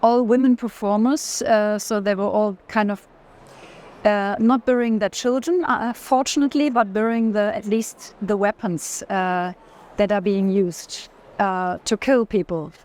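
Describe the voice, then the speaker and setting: A woman's voice: mid-range voice, fairly even intonation, unhurried, a little mellow. A woman in an unscripted walking conversation about art, searching for words and rephrasing as she goes. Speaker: art collector in a gallery conversation. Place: art fair.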